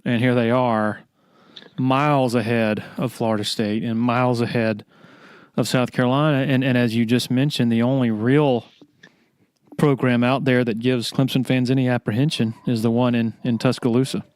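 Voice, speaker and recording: A man's voice: mid-range voice, fairly even intonation, moderate pace, darkish tone, clean tone, no echo. A man hosting a casual sports podcast, feeling his way through questions and rephrasing as he goes. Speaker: podcast host, interviewer.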